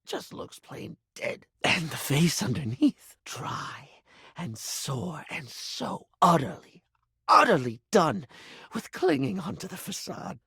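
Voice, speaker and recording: A man voicing a flamboyant but insecure young male makeup character in a dating video game, theatrical, stammering and self-deprecating, with a breathy, creaky voice. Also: sits high, fairly bright tone, dry recording, studio quality, exaggerated voice. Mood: bleak, poetic.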